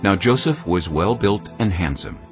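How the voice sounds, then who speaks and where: A man narrates scripture in a solemn, measured storytelling style. His voice is very low, with lively intonation. Soft music plays under the slightly muffled recording. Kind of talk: scripted dramatized Bible narration. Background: background music.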